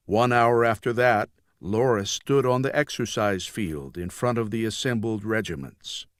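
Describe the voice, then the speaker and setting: A man narrating scripted fiction as an audiobook reader, with grave, measured storytelling. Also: fairly low pitch, a bit bright, no echo, studio quality.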